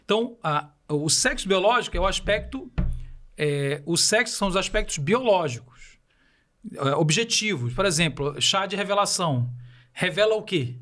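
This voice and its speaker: High-voiced man with a Belém accent. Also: animated, moderate pace, a bit bright. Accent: Paraense Brazilian Portuguese. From Belém do Pará, Brazil. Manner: didactic, uses rhetorical questions. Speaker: podcast guest. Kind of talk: explanatory podcast talk.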